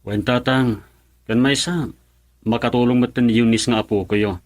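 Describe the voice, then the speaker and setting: A man's voice: medium pitch, steady tone, normal speed, a little mellow, close to an everyday voice. Man to play modest rural husband, Ilocano accent, radio drama. Deferential, sincere, mid-range voice. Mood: apologetic, respectful. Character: humble middle-aged rice farmer, husband and father, respectful.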